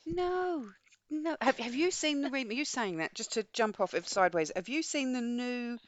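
A woman's voice: fairly high pitch, lively intonation, moderate pace. A woman with a British accent co-hosting a podcast, chatting casually in a blunt, exclamatory, sweary way. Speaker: podcast co-host. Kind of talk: casual chat.

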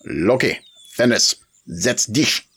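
A man's voice: medium pitch, expressive, slow pace, fairly bright tone, husky, pitched above the performer's own voice, exaggerated voice. A man voicing a commanding German car dealer of about forty, with a German accent and a curt, domineering drill-sergeant manner.

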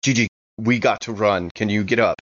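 A man's voice: on the low side, fairly quick, fairly bright tone, no room sound, muffled recording. A man playing a tabletop game, casually roleplaying and describing his character's actions.

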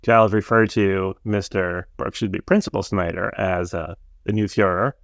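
A man's voice: deep voice, fairly even intonation, normal speed, gravelly, dry recording, studio quality. A man co-hosting a casual podcast chat, easygoing, recapping the plot with ums and uhs. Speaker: podcast co-host.

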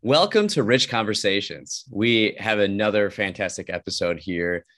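A man hosting a podcast, introducing the guest and asking relaxed, friendly questions. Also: lowish voice, moderate pace, soft background music. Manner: relaxed, friendly, some fillers. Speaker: podcast host.

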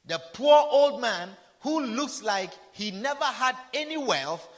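High, animated preaching man in his fifties. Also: a little slow. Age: 50s. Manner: preaching with repeated refrains, frequent hallelujahs, swinging from loud to soft. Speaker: pastor. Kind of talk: sermon. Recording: long reverb of a large hall.

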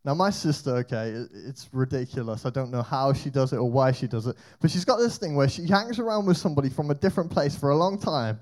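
A young man giving a lively, conversational youth talk, telling stories and asking rhetorical questions. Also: medium pitch, fast talker, few pauses. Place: church hall with band.

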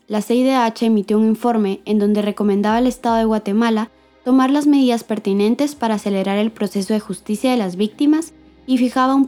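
A woman giving measured, scripted documentary narration as the host. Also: fairly high pitch, dark tone.

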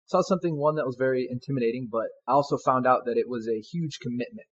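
A man's voice: mid-range voice, very fast, slightly rough. A man in his twenties, a soldier guest answering interview questions in an earnest, polite way. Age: 20s. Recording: dull lo-fi sound.

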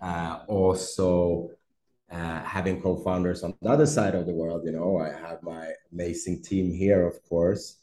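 Deep-voiced Swedish man, hesitant. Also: level tone, measured pace, dark tone, roomy sound. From Sweden. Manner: hesitant, many fillers and restarts, laughs easily. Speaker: startup CEO guest. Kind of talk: podcast interview conversation.

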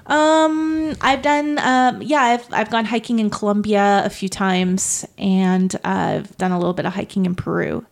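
A woman's voice: medium pitch, steady tone, measured pace, creaky, hardly any pauses. A woman from the United States, a podcast guest and long-distance hiker, talking in a chatty way and laughing easily. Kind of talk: casual interview talk.

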